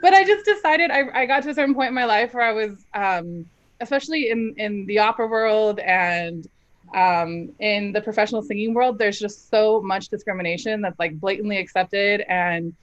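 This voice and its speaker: A young woman opera singer speaks with frank, emphatic confidence, breaking into easy laughter between thoughts. Her voice sits at a middle pitch for a woman, and the live stream audio comes through a bit muffled. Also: normal speed. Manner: candid, laughs often, emphatic. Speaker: opera singer, dramatic soprano. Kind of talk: casual interview talk.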